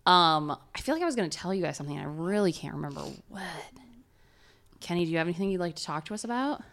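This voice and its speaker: A woman co-hosting a podcast in casual chat, a loud talker with a nasal voice who swears casually and laughs often. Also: fairly low pitch, lively intonation, fast. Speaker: podcast co-host.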